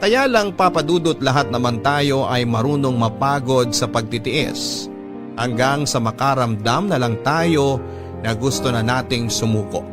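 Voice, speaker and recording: A man's voice: mid-range voice, measured pace. A man with a Filipino accent narrating a dramatic first-person story for a radio show. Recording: music bed under the voice. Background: music.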